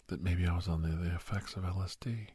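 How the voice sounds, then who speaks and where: A man playing a middle-aged doctor who reminisces earnestly in a soft, half-whispered ASMR roleplay, breathy and halting, close to the microphone. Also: fairly even intonation, no room sound. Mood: grave, haunted. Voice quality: breathy, husky, low. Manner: halting, hushed, dramatic pauses. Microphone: close, dry microphone.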